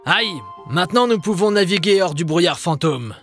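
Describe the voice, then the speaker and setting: A man's voice: high voice, lively intonation, normal speed, a bit bright, faint music behind. A man voicing an earnest, commanding adult ghost ship captain in a cartoon dub. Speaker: dubbing actor.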